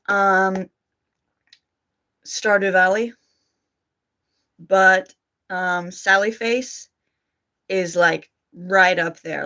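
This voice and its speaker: A woman's voice: lowish voice, vocal fry, pushed and bright. A woman with an Australian accent tinged with American, chatting informally and self-deprecatingly on a livestream as she answers comments. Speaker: streamer and amateur voice actor. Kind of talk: casual livestream chat, reading and answering comments.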